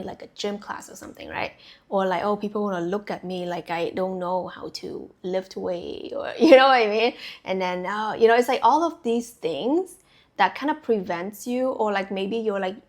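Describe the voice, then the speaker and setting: A young adult woman from Vietnam talks to her viewers as a spiritual coach, her pitch sitting in a middle range. She rambles casually, circling back with fillers and restarts, and laughs easily between thoughts. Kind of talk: casual intuitive reading to camera.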